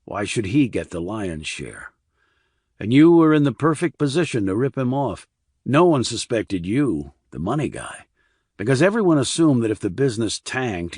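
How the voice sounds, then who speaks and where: Guy reading as a hard calm army investigator in an audiobook, blunt, husky, very dry sound. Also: on the low side, no room sound, exaggerated voice. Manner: cool, blunt, controlled. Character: hard, calm male military investigator pressing a suspect.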